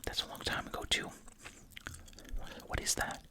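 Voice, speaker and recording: An ASMR host speaking in a breathy, unvoiced whisper, reading aloud and musing casually. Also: moderate pace.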